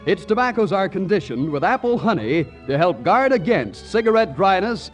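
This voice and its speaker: A man's voice: sits high, big pitch swings, normal speed, soft background music. A man reading scripted announcements in 1940s radio style on an old broadcast recording.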